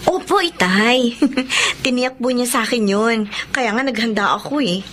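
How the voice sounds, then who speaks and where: A woman's voice: a little high, lively intonation, unhurried, fairly bright tone. A woman playing an emotional, insistent young wife in a Filipino radio drama. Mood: hopeful, respectful. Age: young adult. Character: distraught young wife Almina, refusing to believe she is widowed. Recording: noisy radio drama recording with clicks.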